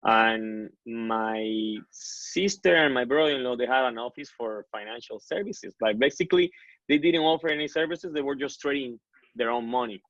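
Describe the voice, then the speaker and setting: A man tells his own story as an interview guest. He speaks earnestly and often stops to restart his sentences. The voice sits in a middle pitch range, and the recording is dull and dry. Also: moderate pace, a bit bright, dry recording. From Colombia. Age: 30s. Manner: earnest, many self-corrections and restarts.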